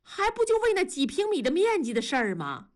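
Woman acting a brash, high-pitched nagging wife. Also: big pitch swings, normal speed. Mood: indignant, demanding. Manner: confrontational, scolding, demanding.

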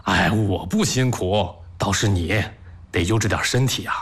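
An actor playing a supportive, affectionate husband in a sentimental audio drama, reassuring and gently teasing with expressive interjections. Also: male voice, sits low, moderate pace, breathy edge.

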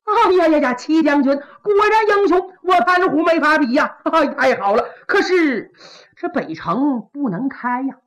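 Woman playing a sly, whining young schemer. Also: lively intonation. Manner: whining, excuse-making, high and fast. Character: sly young man, a scheming official's son, evasive. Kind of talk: traditional Chinese storytelling.

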